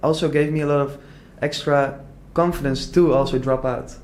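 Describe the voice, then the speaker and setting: A man's voice: a little high, steady tone, moderate pace, darkish tone. A young man from the Netherlands talking casually and earnestly in an interview, full of "like" fillers and restarts. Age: young adult. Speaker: entrepreneur guest.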